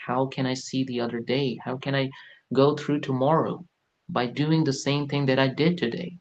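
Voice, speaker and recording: A man's voice: mid-range voice, fairly even intonation, normal speed, muffled recording. A man in his late twenties, a podcast guest, talking earnestly and reflectively about his life, often searching for words with fillers. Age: late 20s. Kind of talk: reflective personal talk.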